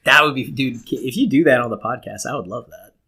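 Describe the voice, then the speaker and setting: Male podcast co-host, medium pitch, foul-mouthed comedic riffing, casual and exaggerated. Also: fast talker, creaky, pushed and bright, husky. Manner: crude, profane, joking exaggeration.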